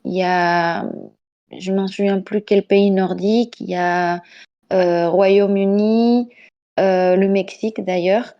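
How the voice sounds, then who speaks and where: Mexican woman with a Spanish accent, mellow. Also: medium pitch, fairly even intonation, slow pace, muffled recording. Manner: chatty, laughs often, many hesitations. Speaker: podcast guest. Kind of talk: casual discussion over voice chat. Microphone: home microphone on voice chat.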